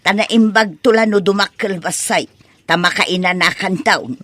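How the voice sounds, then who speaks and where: A woman's voice: medium pitch, unhurried, fairly bright tone, dry recording. A woman playing a blunt, disapproving older mother who gossips in an Ilocano comedy radio drama.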